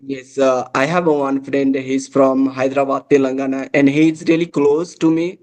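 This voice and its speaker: A man from Nepal with a Nepali accent and native Nepali, chatting casually in halting, repetitive English. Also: mid-range voice, level tone, a little mellow. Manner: halting, repetitive, says "like" often. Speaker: English conversation practice host. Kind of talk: casual conversational chat.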